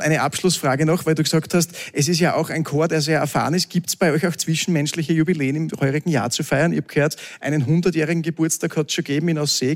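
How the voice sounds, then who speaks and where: A man hosting a folk music show, friendly and a little formal as he introduces guests. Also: on the high side. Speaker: host.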